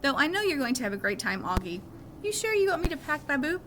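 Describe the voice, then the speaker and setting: A woman's voice: sits high, big pitch swings, fast talker, pitched above the performer's own voice. A woman voicing a caring, reassuring mother who coaxes and instructs her young son in a storybook reading, with noise behind her. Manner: coaxing, instructing. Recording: clearly noisy background.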